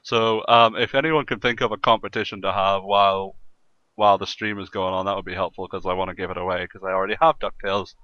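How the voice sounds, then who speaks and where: A man hosting a casual gaming podcast chat in a jokey way, quick to laugh, on slightly lo-fi audio. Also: low voice, fairly even intonation, no room sound. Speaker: podcast host and streamer.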